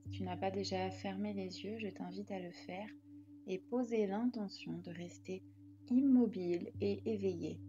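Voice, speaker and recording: A woman leading a guided relaxation meditation as a yoga nidra guide, calm and soothing in her delivery. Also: mid-range voice, normal speed, muffled recording, background noise.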